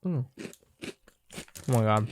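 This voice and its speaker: A man's voice: medium pitch, expressive, takes it slowly, a little mellow, a little hiss or hum. A congested man hosting a podcast, chatting casually and rambling with many restarts while he snacks. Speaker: podcast host. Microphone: own camera and mic, close and dry.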